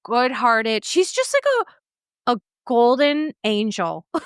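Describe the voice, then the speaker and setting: An outspoken woman hosts a casual podcast chat, animated and unafraid to swear. Her voice sits a little high. She's close on the mic in a dry, dead-sounding space. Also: takes it slowly, clean tone, dry recording. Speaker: podcast host.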